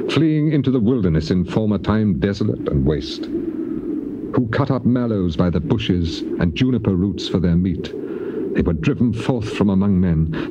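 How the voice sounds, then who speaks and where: A male actor plays a grieving, dignified old patriarch in a dramatized scripture recording. His low voice declaims in measured poetic phrases that rise and fall widely. The sound is an old cassette, thick with noise. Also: natural voice. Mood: bitter, grieving. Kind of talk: dramatized scripture reading, theatrical classical acting. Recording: dull, very noisy old cassette tape.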